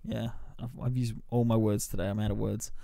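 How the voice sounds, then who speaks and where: A man's voice: lowish voice, flat delivery, fairly quick, creaky, mellow, no room sound, studio quality. A man hosting a podcast, giving a casual, sweary, colloquial review of a film. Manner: sweary, colloquial, loosely descriptive.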